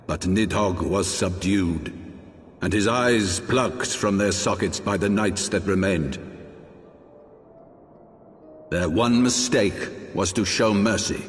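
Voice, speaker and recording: A proud, calculating old archbishop holds forth in a fantasy game. His voice sits on the low side and moves with grave, formal deliberation. It rings through a long reverberant hall, with music underneath. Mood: grave, measured. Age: older. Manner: grave, measured, formal archaic oratory. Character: solemn aging archbishop, proud, calculating father. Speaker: voice actor. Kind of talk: dramatic fantasy game acting. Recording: long cavernous reverb, orchestral music behind.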